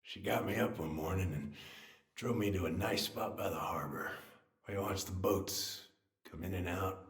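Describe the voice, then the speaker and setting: An actor playing a self-deprecating, grieving middle-aged American man in a video game drama, halting and folksy in manner. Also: low-pitched, fairly bright tone, roomy sound. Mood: sorrowful, reflective. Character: self-deprecating, grieving older man, old family friend.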